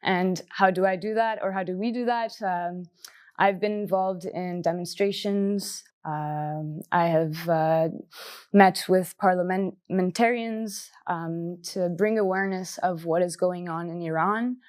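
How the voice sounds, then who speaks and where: A woman in her twenties on a panel, answering earnestly in a halting way with many fillers. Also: lowish voice, fairly even intonation, darkish tone. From Canada. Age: 20s. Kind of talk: personal answers in a panel discussion.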